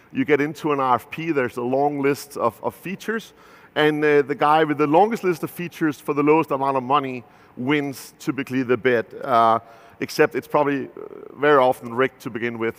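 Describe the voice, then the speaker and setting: Founder keynote: man in his thirties, Danish accent, mid-pitched and casual, with long hall reverb from the venue. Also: a bit bright. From Denmark. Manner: loose and conversational, many filler "uh"s and restarts. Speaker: company founder giving a keynote. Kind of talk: conference keynote talk. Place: large conference stage.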